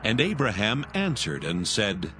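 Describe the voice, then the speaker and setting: A man narrating scripture from a script in a measured, solemn manner. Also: lowish voice, huge pitch swings. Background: soft music.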